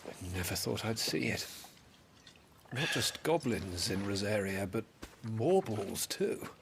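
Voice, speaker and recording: An actor playing a wary, loyal soldier in a fantasy video game, measured and deferential. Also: male voice, lowish voice, lively intonation, gravelly, background noise, exaggerated voice. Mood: concerned. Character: wary, loyal soldier serving a young lord.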